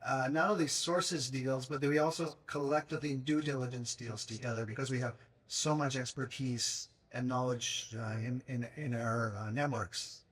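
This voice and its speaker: A man giving an event presentation who hesitates often and fills pauses with fillers. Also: mid-range voice, steady tone, slightly rough. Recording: voice far from the mic.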